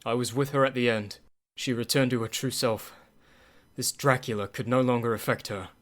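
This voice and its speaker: An actor playing a resolute gentleman doctor leading vampire hunters in a radio play, earnest and decisive. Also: medium pitch, fairly even intonation, moderate pace, natural voice. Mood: sober. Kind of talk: radio play dramatic acting.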